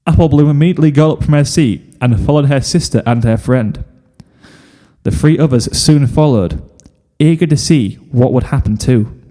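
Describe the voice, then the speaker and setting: A man's voice: medium pitch, warm tone. A man reading a story aloud as a scripted narration, in the role of fan fiction narrator. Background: music.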